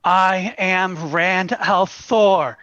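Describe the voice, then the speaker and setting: A man playing a troubled young shepherd who refuses his destiny, halting and earnest in a dramatic table read, on muffled audio. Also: high voice, steady tone, pushed and bright, smooth, dry recording, full character voice. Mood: shaken, denying.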